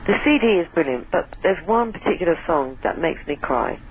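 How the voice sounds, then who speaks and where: A woman calling in to a radio phone-in, chatty and giggly, her voice a little hoarse. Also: fairly low pitch, expressive, brisk, crowd noise. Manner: chatty, giggly, self-deprecating. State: voice going hoarse. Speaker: caller. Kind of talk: phone-in chat. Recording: tinny phone line.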